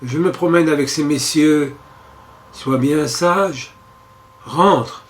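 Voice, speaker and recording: Quiet resigned prisoner dad, slow and soft, a man reading him. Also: a little high. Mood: calm. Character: calm, stoic captured soldier and father.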